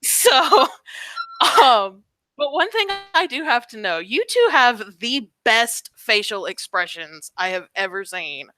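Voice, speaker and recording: Casting: enthusiastic female live-stream host, slightly high bright voice, close dry sound. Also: sing-song, slow, no echo. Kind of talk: casual hosting.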